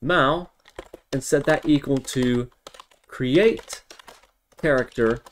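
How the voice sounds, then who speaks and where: A man's voice: mid-range voice, slow, slight background noise. Man talking through a coding tutorial. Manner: step-by-step, thinking aloud while working. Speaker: tutorial instructor. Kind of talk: informal screen-recorded coding tutorial.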